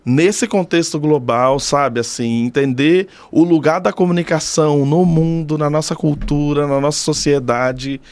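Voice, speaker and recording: A man's voice: fairly high pitch, measured pace, hardly any pauses, a little mellow, dry recording. A man in his thirties with a Brazilian Portuguese accent, talking casually and reflectively as an interview guest, thoughtfully repeating phrases for emphasis. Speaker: podcast guest, doctoral student in communication.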